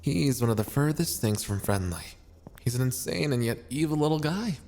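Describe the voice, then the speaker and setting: A man's voice: mid-range voice, expressive, normal speed, mellow, a little hiss or hum, close to an everyday voice. A man voicing a big, brutish, possessive prince of hell in an ASMR roleplay, with a blunt, brooding, threatening manner. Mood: obsessive, brooding.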